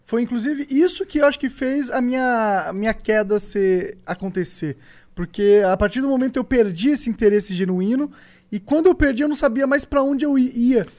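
High-voiced man hosting a podcast. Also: normal speed, darkish tone. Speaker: podcast host. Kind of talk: casual podcast chat. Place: podcast studio.

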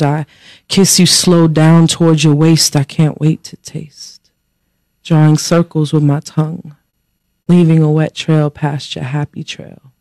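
A woman reading her own sensual poem aloud in a soft, unhurried way as a podcast guest. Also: deep voice, no room sound, low-quality recording. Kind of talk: slow sensual poetry reading.

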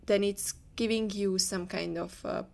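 A woman's voice: medium pitch, steady tone, measured pace, gravelly, a little mellow. A woman from Hungary with a Hungarian accent, answering conversationally as an interview guest. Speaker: interview guest, leadership coach.

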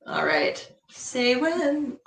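A woman hosting a casual interview, upbeat and quick to laugh as she asks questions. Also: high voice, sing-song, gravelly. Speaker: host asking questions. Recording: roomy, echoey recording.

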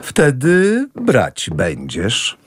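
A man playing Podziemski, an insistent, brusque gentleman in a radio play, who pushes his friend for money. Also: medium pitch, huge pitch swings, extremely slow, slight background noise, full character voice. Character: Podziemski, brisk, pushy middle-aged man collecting money.

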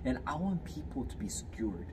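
A man's voice: medium pitch, big pitch swings, normal speed. A man in his twenties from Fiji, answering interview questions in a hesitant way with many fillers and restarts. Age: 20s. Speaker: guest, student. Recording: distant mic, clear background noise.

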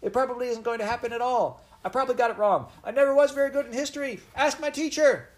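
A man's voice: a little high, huge pitch swings, rapid-fire, creaky, darkish tone, pitched above the performer's own voice, exaggerated voice. A man voicing an outspoken, anxious modern girl in a storybook, speaking impulsively and pleadingly. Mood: wretched, pleading. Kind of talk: storybook character voice.

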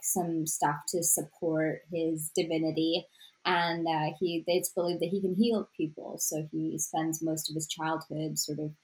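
A woman from Sri Lanka with a slightly low, soft voice talks through her work in an interview. She is reflective and unhurried in thought, padding her sentences with fillers and restarts as she finds her words. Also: rough recording. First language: Tamil. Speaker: author. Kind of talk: interview conversation.

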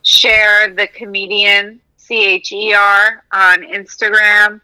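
Bright-voiced woman comedian guest. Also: medium pitch, level tone, slow, smooth, low-quality recording. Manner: chatty, hesitant, plugs her shows. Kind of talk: casual podcast chat.

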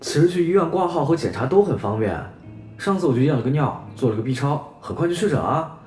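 A man's voice: a little high, big pitch swings, fast, darkish tone, faint music behind. A man playing a reassuring, practical friend in an audio drama, talking casually and persuasively. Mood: helpful, persuasive. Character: reassuring, practical male friend giving advice. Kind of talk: audio drama acting, conversational. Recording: roomy echo.

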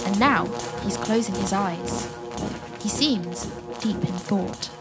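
A woman narrating a children's audio play as a storyteller, expressive and full of wonder, addressing listeners directly. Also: medium pitch, takes it slowly. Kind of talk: dramatic scripted children's storytelling. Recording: loud music and effects behind.

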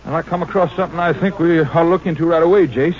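A man's voice: on the high side, quick pace, close to an everyday voice. An actor playing an eager small-town lawman with folksy, ungrammatical speech in a 1950s radio crime drama. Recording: dull 1950s radio recording.

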